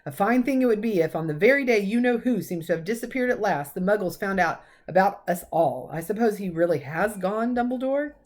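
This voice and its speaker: A woman's voice: mid-range voice, lively intonation, a little fast, few pauses, a little mellow, voice pushed up, lightly acted. Lady doing a strict older witch teacher in a kids book, indignant, stresses her words, bit anxious. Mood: irritable, impatient. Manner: emphatic, indignant.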